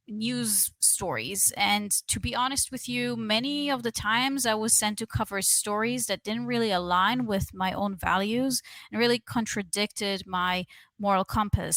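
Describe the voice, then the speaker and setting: A woman's voice: medium pitch, fairly bright tone, no echo. A young adult woman from Israel, a guest email strategist, telling her story in a candid, chatty way with plenty of fillers. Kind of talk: conversational interview.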